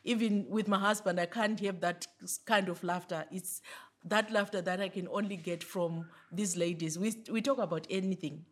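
A woman's voice: fairly low pitch, steady tone, moderate pace. A woman, a member of a mothers' group, speaking with enthusiasm in an interview. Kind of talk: interview answer.

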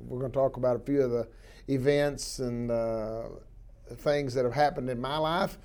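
A man's voice: mid-range voice, steady tone, moderate pace, darkish tone, clear, resonant tone. A man, a guest telling stories about his life in a rambling way with many fillers. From southwestern Oklahoma. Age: 60s. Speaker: interview guest, wildlife biologist. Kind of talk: relaxed storytelling interview.

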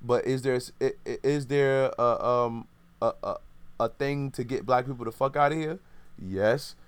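A man's voice: mid-range voice, normal speed, clean tone, no echo. Opinionated man in his thirties, rambling, self-correcting, dry close sound. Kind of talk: casual podcast chat.

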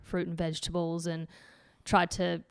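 A woman's voice: fairly low pitch, monotone, normal speed, smooth, no room sound, studio quality. A woman in her late twenties, a podcast guest who runs a healthy eating website, answering in a thoughtful way and restarting phrases as she goes. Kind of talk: casual podcast interview.

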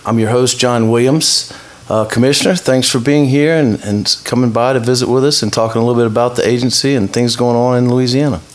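A man hosting a conversational interview, wordy and halting, often repeating words as he frames his questions. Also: medium pitch, fairly even intonation. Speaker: host interviewing a guest.

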